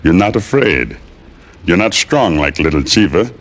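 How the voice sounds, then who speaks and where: A man playing a humble, devout young male island guide who speaks broken English and chants softly, in a 1950s radio drama. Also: low-pitched, very animated, moderate pace, full character voice. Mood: earnest. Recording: vintage radio broadcast with music.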